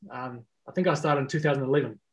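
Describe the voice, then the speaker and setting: Relaxed man, casual. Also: mid-range voice, fast talker, rough recording. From South Africa. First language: Afrikaans. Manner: rambling, many fillers and restarts. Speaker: guest. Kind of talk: casual podcast chat.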